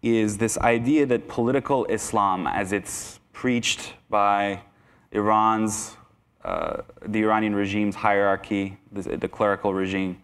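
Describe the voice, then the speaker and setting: Soft-spoken young man lecturing with restarts. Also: on the low side, measured pace, clear, resonant tone. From Iran. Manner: conversational, self-deprecating, frequent restarts and fillers. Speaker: guest speaker. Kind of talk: lecture to teachers. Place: conference hall, indoors, large. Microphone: lapel mic.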